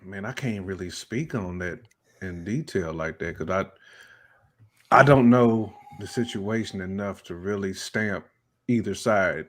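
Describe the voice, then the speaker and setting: A man's voice: low-pitched, unhurried. An American man chatting casually on a hip-hop panel, slangy and often repeating his phrases. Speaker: podcast panelist.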